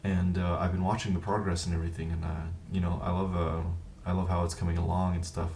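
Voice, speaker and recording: A man hosting a casual interview in a halting, loose way, repeating words as he searches for them. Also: low voice, level tone, darkish tone, recorded from a distance, a little hiss or hum. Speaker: interviewer, host.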